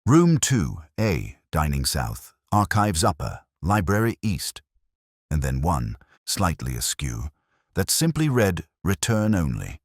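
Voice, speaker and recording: A man narrating a first-person detective story in a measured, literary, brooding manner with a husky voice. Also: low voice, big pitch swings, no echo, studio quality.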